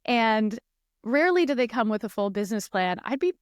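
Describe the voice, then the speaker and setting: Upbeat woman podcast host, smooth voice. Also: fairly high pitch, expressive, fairly quick, dry recording, studio quality. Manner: upbeat, enthusiastic questioning. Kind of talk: podcast intro and interview questions.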